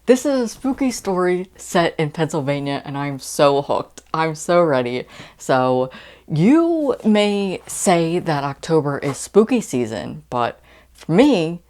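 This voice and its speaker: Low-pitched American woman, enthusiastic vlogger. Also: very animated, slow pace, darkish tone. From United States. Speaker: book vlogger. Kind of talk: casual chat to camera.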